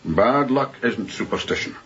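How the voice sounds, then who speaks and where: Earnest superstitious sailor, radio drama, husky, solemn, deliberate, slightly muffled. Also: male voice, fairly low pitch, animated, a bit bright, full character voice. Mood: grave, insistent. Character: superstitious, painstaking ship's officer, gloomy and earnest.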